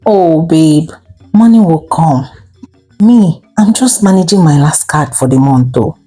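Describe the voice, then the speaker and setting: A woman voicing a smooth-talking, carefree cheating husband with a Nigerian accent in a told folktale. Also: low voice, measured pace, mellow, faint music behind. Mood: breezy, excusing. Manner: sweet-talking, breezy.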